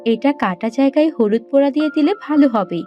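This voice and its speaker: A woman voicing a gentle, pleading queen in a Bangla cartoon fairy tale. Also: sits high, lively intonation, fast. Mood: concerned. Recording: dull lo-fi audio under loud music.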